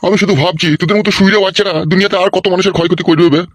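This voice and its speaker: Man playing a fast, bossy village politician. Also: sits high, fairly even intonation, no room sound, studio quality. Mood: angry, scolding. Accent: rural West Bengal. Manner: coarse, hectoring, interrogating. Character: bossy, foul-mouthed male village politician scolding people. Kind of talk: comic cartoon dialogue.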